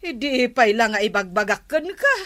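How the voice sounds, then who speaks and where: An actress with an Ilocano accent playing an exasperated, nagging village captain's wife in a radio comedy drama. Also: female voice, on the high side, lively intonation, normal speed, fairly bright tone.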